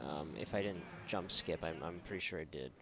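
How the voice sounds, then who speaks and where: A man's voice: extremely low pitch, brisk, noisy background. A man giving casual let's play commentary, joking, rambling and swearing freely. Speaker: gaming video host.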